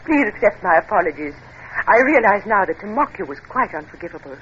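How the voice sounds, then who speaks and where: A woman's voice: medium pitch, sing-song, fast, close to an everyday voice. An actress playing a poised, spirited aristocratic English lady, gracious and refined, in a 1950s radio drama. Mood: teasing, apologetic. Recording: dull, noisy 1950s radio recording.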